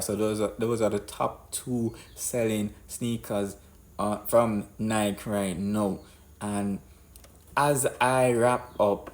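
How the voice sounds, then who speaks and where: A man hosting an unscripted business commentary, explaining in a deliberate way and often rephrasing his points. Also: mid-range voice. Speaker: host of a business commentary show.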